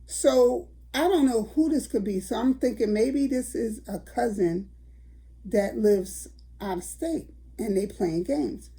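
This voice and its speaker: An older woman tells a story to her viewers in a chatty, casual way. Her voice is raspy and gravelly, and it sits dark and mellow in tone. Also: on the high side, a little slow. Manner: chatty, addresses viewers as y'all. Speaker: vlogger.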